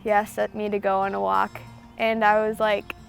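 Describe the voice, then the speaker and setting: A woman's voice: medium pitch, little pitch movement, normal speed, gravelly. A young woman telling her love story casually, gushing and giggly, with plenty of "like" in her sentences. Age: young adult. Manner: giggly, gushing, liberal use of "like". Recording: music behind the voice. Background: music.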